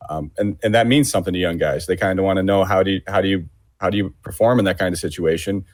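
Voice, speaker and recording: A man with a very low voice chatting on a sports podcast. He is laid-back and joking, with lots of fillers. The sound is dry and close. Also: steady tone, fast talker, darkish tone, no echo. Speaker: podcast co-host. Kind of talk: casual podcast chat.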